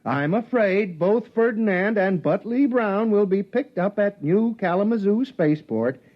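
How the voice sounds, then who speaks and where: A man's voice: high voice, expressive, measured pace. A man playing an officious, easily flustered spaceship captain in a 1950s radio drama, by turns official and pleading. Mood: firm. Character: flustered, officious male spaceship captain presiding over a hearing. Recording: dull vintage 1950s radio recording.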